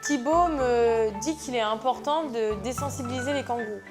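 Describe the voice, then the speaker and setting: Hesitant woman zookeeper interviewed. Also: fairly high pitch. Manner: hesitant, many "euh" pauses. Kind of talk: documentary interview. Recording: background music.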